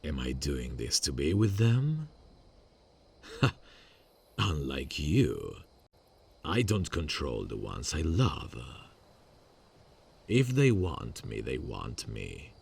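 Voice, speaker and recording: A man playing a gravelly, slow serial killer. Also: wildly swooping pitch, distant mic, a little hiss or hum, exaggerated voice. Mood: menacing. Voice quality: low, gravelly, unhurried. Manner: quiet and menacing, sweet on the phone. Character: calm, soft-spoken vigilante serial killer, adult man. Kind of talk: ASMR audio drama.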